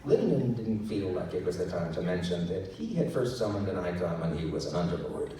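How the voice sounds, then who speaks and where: A man's voice: sits low, lively intonation, fairly quick, mellow. A man narrating fiction live to an audience in a dramatic storytelling style. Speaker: audiobook narrator reading to an audience. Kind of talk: dramatic live fiction reading with character voices. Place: live meetup room with audience. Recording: echoey noisy room, recorded from far off. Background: audience laughter and room noise.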